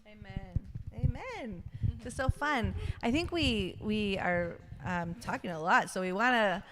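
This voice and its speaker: A woman hosting a casual livestream, talking in an effusive, halting way. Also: mid-range voice, lively intonation. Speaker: livestream host.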